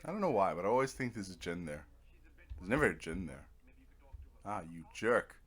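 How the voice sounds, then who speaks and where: A man's voice: deep voice, very animated, normal speed, husky. A man giving casual, rambling let's play commentary, thinking aloud as he goes. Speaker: gamer narrating own playthrough.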